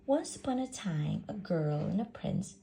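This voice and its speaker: A woman's voice: lowish voice, animated, a little slow, creaky, mellow. A woman voicing a sweet, chattering young girl from a storybook, dreamily telling tales. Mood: eager. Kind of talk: storybook character voice.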